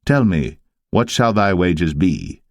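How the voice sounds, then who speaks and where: Shrewd elder patriarch for scripture drama, played by a man: low, stately, emphatic, archaic wording. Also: expressive, slow pace, dry recording, clean studio sound, exaggerated voice. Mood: affable bargaining. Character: Laban, shrewd older male patriarch bargaining with kin.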